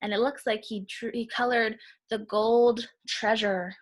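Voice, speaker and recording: A woman reading a picture book aloud to young children, pausing to explain things simply and instructively. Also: a little high, fairly even intonation.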